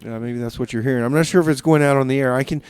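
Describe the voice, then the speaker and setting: A man hosting a community radio music show, chatting casually in a halting, rambling way with deadpan comedy. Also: mid-range voice, smooth, no echo. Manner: hesitant, rambling, halting with repeated words. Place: small community radio studio.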